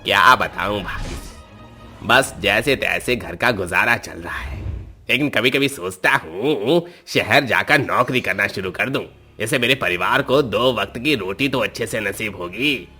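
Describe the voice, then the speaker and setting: A man's voice: lowish voice, a little slow, pushed and bright, faint music behind, full character voice. A man playing a poor, anxious village man in a horror story, speaking plaintively. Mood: weary. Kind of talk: dramatized horror story acting.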